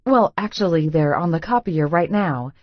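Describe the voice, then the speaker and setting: A woman's voice: on the low side, animated, moderate pace, no echo, lo-fi sound. A woman voicing an eager, cheery, people-pleasing female realtor in an audiobook. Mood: fake cheery. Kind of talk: audiobook character dialogue.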